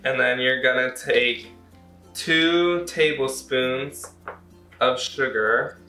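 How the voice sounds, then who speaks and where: A man's voice: mid-range voice, pushed and bright. A young American man casually walking through a recipe in his home kitchen, with playful, self-deprecating asides. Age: young adult. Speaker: cooking show host. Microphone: camera mic a few feet away. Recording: roomy kitchen echo from camera distance.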